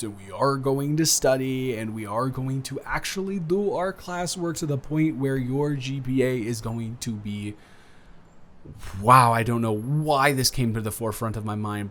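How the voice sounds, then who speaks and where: A young man playing an earnest, awkward college student smitten with a dormmate, rambling and halting in an intimate roleplay audio drama. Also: mid-range voice, a bit bright, lightly acted. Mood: eager, supportive. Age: young adult.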